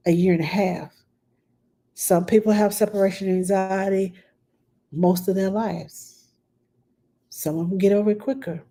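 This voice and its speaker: A woman's voice: on the low side, dark tone. A middle-aged woman with a stuffed-up nose, chatting plainly and bluntly as a nanny answering viewer questions on a live stream.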